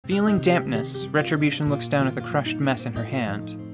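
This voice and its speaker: A man narrating a fantasy radio play in a measured, dramatic storytelling style with musing asides. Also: medium pitch, expressive. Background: soft music.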